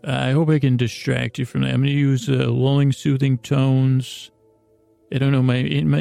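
Man with heavily creaky voice, rambling soothingly. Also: medium pitch, dark tone. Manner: halting, meandering, with repeated stammered words and self-interruptions. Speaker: host of a bedtime-story podcast. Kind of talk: rambling, soothing bedtime monologue.

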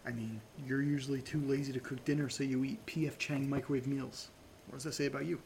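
A man's voice: mid-range voice, fairly even intonation, fast talker, a little mellow, a little hiss or hum, natural voice. A man playing a cautious, level-headed sidekick in an audio drama, reasoning and exasperated in naturalistic banter. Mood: skeptical.

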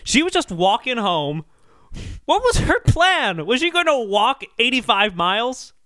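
A man with a high, bright voice co-hosts a comedy podcast. He argues in a mock-serious, emphatic way, with very lively pitch movement. The sound is dry and close. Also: measured pace, no room sound. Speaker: podcast co-host. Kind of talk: comic song-critique banter.

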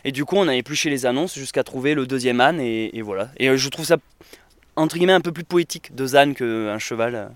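A man's voice: mid-range voice, a little fast, no room sound. A man chatting casually in an interview, rambling with many fillers and restarts. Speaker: interviewee, traveler and videographer.

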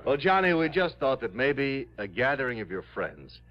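A man's voice: mid-range voice, very animated, normal speed, a crowd in the background, natural voice. A man acting an earnest, loyal friend in an old radio drama. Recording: muffled old radio recording.

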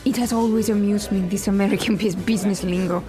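An actress playing a volatile, theatrical TV producer in an audio drama, with an emphatic, profane, menacing way of talking. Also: female voice, mid-range voice, steady tone, quick pace, over music, lightly acted. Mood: grandiose, sentimental. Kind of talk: audio fiction acting.

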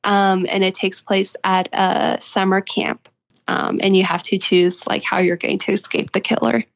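A young woman with a noticeably creaky, fry-laced voice of average pitch. She speaks as a guest author in a relaxed interview. Her manner is earnest and upbeat. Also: normal speed. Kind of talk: casual interview talk.

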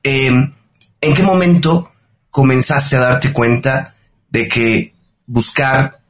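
A man co-hosting a business podcast, reading a guest's introduction in a measured way and asking questions. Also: mid-range voice, a bit bright. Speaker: podcast co-host. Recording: faint music behind.

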